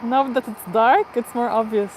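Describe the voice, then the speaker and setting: A cheerful woman with a fairly high voice hosts a live stream from outdoors near an airport. She chats casually with viewers in accented English and laughs often. Outdoor ambience and traffic noise run clearly behind her. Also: fairly even intonation, moderate pace, a little mellow. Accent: non-native English, neither Portuguese nor Spanish. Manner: chatty, upbeat, laughs often. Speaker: livestream host.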